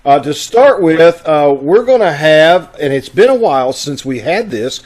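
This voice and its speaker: Folksy man hosting, slightly high voice. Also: expressive, unhurried, a little mellow. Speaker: host of a live online radio-troupe broadcast. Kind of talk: casual hosting with announcements. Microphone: video call.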